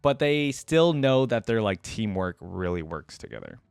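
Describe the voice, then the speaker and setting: A man's voice: fairly low pitch, big pitch swings, moderate pace, vocal fry, darkish tone, smooth, dry recording. A man hosting a reaction video, reading game lore aloud and breaking off for casual comments.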